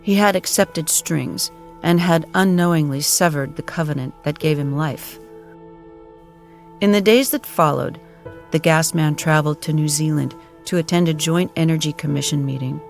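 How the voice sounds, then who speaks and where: A woman narrating an audio drama in a solemn, measured storytelling manner. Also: deep voice, creaky, soft background music.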